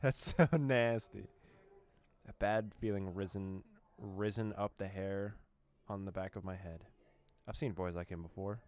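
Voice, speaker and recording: A young man from the former USSR reading a horror visual novel aloud, with quick laughs and reactions between lines. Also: sits low, fairly even intonation, darkish tone. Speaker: let's play host.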